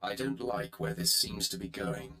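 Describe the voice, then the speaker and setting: An actor playing an arrogant, sardonic Sith warrior in a video game, with a haughty, clipped delivery. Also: male voice, low voice, animated, normal speed, bright, forceful tone, reverberant. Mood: sardonic, suspicious. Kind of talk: dramatic video game voice acting.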